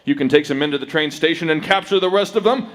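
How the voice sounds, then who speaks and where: An actor playing a heroic masked Western lawman, calm and commanding, giving steady instructions in live radio-drama style. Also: a little high, fast, natural voice.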